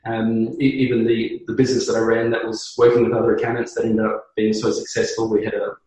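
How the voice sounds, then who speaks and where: A man's voice: fairly low pitch, completely flat delivery, fast talker, a little mellow. Earnest guy in his 30s, lots of ums and restarts, super roomy echo. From New Zealand. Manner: earnest, with many fillers and restarts. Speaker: business co-founder, guest. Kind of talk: conversational podcast interview.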